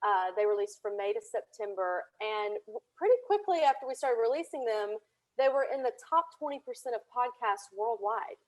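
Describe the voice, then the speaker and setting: Higher-voiced woman telling stories in interview. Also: lively intonation, moderate pace, a little mellow, poor audio. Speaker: podcast guest, audio drama producer and writer. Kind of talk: casual interview storytelling.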